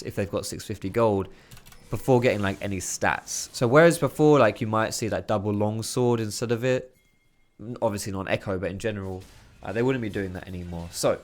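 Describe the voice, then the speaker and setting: A man's voice, a little hoarse and worn from talking, narrates game footage in a quick, excitable play-by-play. He sits at a home desk mic, reacting to each big moment with loose, slangy enthusiasm. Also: medium pitch. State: hoarse, losing his voice. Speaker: video host. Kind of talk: gameplay commentary.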